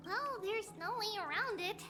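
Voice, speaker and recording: A woman's voice: very high voice, expressive, normal speed, music in the background. A woman voicing a small, cheerful childlike girl demigoddess in a video game, with a playful, lilting delivery.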